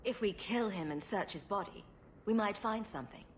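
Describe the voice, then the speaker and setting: A woman's voice: medium pitch, animated, moderate pace, slight background noise, natural voice. A woman playing a witty, sarcastic female rogue adventurer in a video game, with a playful delivery. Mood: playful sarcasm. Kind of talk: dramatic video game acting.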